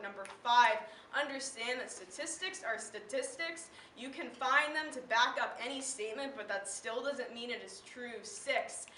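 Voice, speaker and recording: High, bright teenage girl performing slam poetry. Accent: American. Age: teen. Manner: impassioned, emphatic, declamatory with deliberate pauses. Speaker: slam poet. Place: live event in a room. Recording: roomy, distant mic, faint background noise.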